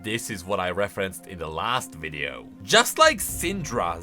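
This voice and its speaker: Animated, bright-voiced man doing commentary. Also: fairly high pitch. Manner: emphatic, conversational. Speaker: video host. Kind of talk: casual explanatory game lore commentary.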